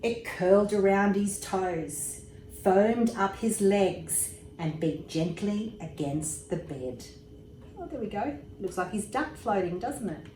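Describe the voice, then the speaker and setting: Woman, storybook reading, mid-pitched, slow, expressive, echoey room, faint background noise. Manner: unhurried, expressive, pauses for asides about pictures. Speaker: storybook reader, emergency services commissioner. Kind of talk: bedtime story read aloud to young children.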